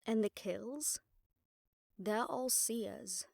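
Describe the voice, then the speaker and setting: A woman playing an exasperated, sharp-tongued witch detective in an audio roleplay, talking casually and wryly to a partner. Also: on the high side, extremely slow, creaky, no room sound, clean studio sound, heavily acted. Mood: focused, explaining. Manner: casual, wry, mildly swearing, explanatory. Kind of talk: conversational audio roleplay acting.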